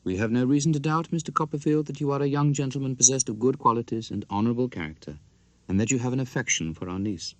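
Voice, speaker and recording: A man's voice: mid-range voice, no echo, lightly acted. A man voicing a prim little old maiden aunt. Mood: prim, formal. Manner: precise, measured, stately diction.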